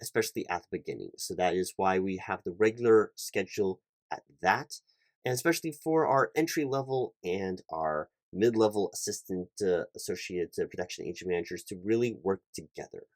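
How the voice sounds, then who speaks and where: Low-pitched man, officious interview roleplay. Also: a little mellow, no room sound. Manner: polite, officious, halting corporate patter. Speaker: performer playing a recruiting hiring manager. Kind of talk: one-sided job interview roleplay, ASMR.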